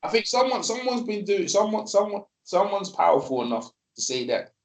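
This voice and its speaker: A man hosting a casual live stream, talking in a rambling, repetitive and animated way. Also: high voice, a little fast. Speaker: host.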